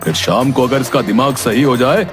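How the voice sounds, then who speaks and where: A man's voice: medium pitch, moderate pace, over music, natural voice. A man voicing a stern, threatening village police chief in a cartoon moral story, scolding and giving orders. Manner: commanding, scolding. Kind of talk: animated Hindi moral story acting.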